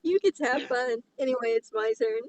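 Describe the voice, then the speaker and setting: A woman's voice: a little high, fairly quick, no room sound, poor audio. A woman chatting casually and reading a story aloud for comedy, with a teasing, giggly manner. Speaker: podcast co-host reading a fan fiction aloud. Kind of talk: casual chat and comic read-aloud.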